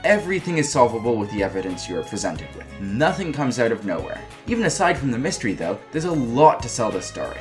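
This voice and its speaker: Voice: mid-range voice, sing-song, quick pace, few pauses, over music. A reviewer narrating a scripted review in an informal, wry and emphatic way. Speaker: video game reviewer.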